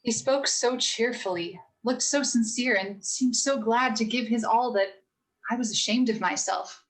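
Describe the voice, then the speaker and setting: A woman playing a gentle, earnest mother of four girls, telling stories in a measured, sincere way for a radio play rehearsal. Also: a little high, poor audio. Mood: earnest, moved. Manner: measured, sincere moral storytelling.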